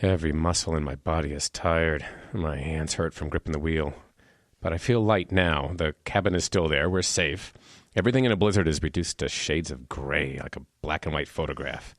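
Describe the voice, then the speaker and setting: An actor playing a brooding, self-assured man in a radio drama, with a measured, poetic delivery. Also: very deep voice, no echo, studio quality. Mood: brooding, hushed. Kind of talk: radio drama acting with narrated inner monologue.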